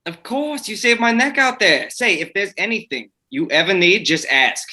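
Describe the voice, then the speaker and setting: Man acting a breezy showbiz guy, bright forceful voice, flippant, overfamiliar, screenplay table read. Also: high voice, animated, normal speed, exaggerated voice.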